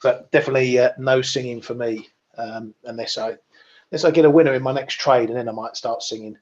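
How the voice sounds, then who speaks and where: A man hosting a casual markets show, relaxed and wry, with plenty of ums. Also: medium pitch, fast, highs cut off.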